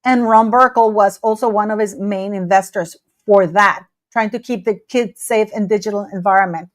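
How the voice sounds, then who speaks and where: A woman's voice: mid-range voice, steady tone, normal speed. A woman hosting an interview, asking questions and reacting with disbelief. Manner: exclaims in disbelief, stumbles over questions. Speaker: podcast host. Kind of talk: casual interview chat.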